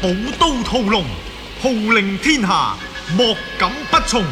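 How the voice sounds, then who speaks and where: Title voice: Cantonese man, high and animated, dramatic declamation over loud music. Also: measured pace. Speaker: programme title announcer. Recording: loud theme music behind.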